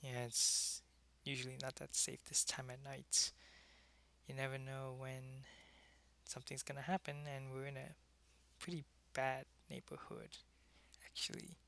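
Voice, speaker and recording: A man's voice: mid-range voice, level tone, unhurried, creaky, pauses often, pushed and bright, a little hiss or hum. A man playing a world-weary, lonely vampire who was once a human soldier, in an intimate, conversational ASMR roleplay. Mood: gentle, sympathetic.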